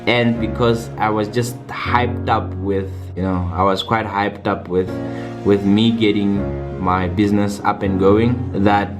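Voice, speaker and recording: A man's voice: on the low side, steady tone, a little slow. A young man from South Africa chatting casually in a personal vlog, often repeating words as he goes. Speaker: vlogger. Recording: echoey room with music behind.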